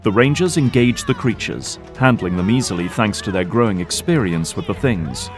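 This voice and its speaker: Low-voiced male narrator over prominent music. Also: animated, moderate pace. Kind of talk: scripted audio drama narration.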